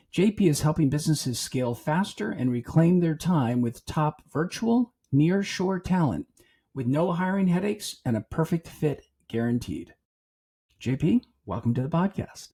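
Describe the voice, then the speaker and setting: A male podcast host with a breathy, somewhat husky voice. His delivery is friendly and upbeat, and he moves from a read intro into relaxed interview questions. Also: mid-range voice.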